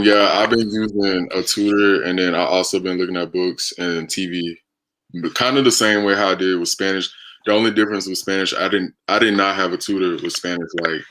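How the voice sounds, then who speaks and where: A man's voice: sits low, fairly bright tone, rough recording. An American man hosting a casual live stream, chatting conversationally as he takes viewer questions. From United States. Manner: conversational, reading and answering viewer comments.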